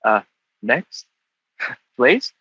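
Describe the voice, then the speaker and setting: A man's voice: medium pitch, big pitch swings, extremely slow, sharp tone, slightly rough, no echo, muffled recording. A man voice actor giving a brief, easygoing introduction on a podcast. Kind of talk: casual podcast chat.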